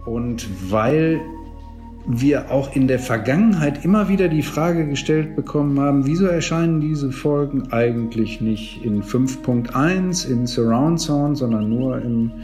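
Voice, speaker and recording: A man's voice: medium pitch, a little slow, warm tone, faint music behind. A man giving an explanation in an interview as an audio producer.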